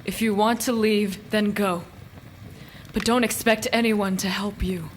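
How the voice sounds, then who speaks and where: Casting: woman as cool, challenging goddess for audio drama, mid-pitched, very unhurried and often hushed; noisy recording. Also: steady tone, lightly acted. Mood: stern, goading. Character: stern, cool visiting goddess, challenging and unsentimental. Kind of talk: radio play acting.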